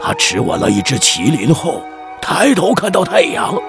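Hammy, gravelly village-chief storyteller. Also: male voice, a little high, very animated, normal speed, over music. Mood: dramatic narrating. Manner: theatrical, mock-solemn, avuncular. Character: hammy middle-aged village chief, long-winded folksy storyteller. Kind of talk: children's radio drama, storytelling.

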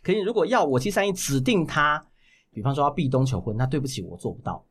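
Taiwanese Mandarin man with animated, swooping pitch. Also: slightly rough. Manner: explanatory, halting with self-corrections. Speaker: guest voice actor. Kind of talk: casual interview talk.

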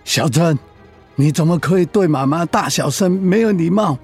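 A man's voice: a little high, moderate pace, breathy edge, faint music behind. A man playing a stern, offended elderly father in a radio drama, admonishing the younger generation.

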